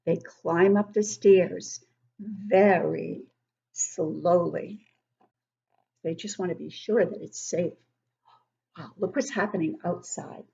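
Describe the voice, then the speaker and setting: A woman reading a picture book aloud to young children in an expressive, explanatory way. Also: fairly low pitch, takes it slowly, gravelly, long pauses, husky, highs cut off. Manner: animated, explanatory, child-directed.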